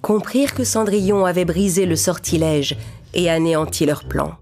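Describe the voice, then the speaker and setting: A woman's voice: fairly low pitch, fairly even intonation, moderate pace, darkish tone, soft background music. A woman narrator reading a scripted children's fairy tale.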